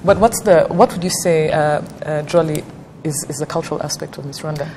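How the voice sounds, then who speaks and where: Low-voiced woman hosting a panel. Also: a little fast, darkish tone, slight background noise. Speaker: host. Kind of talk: hosting a panel talk show.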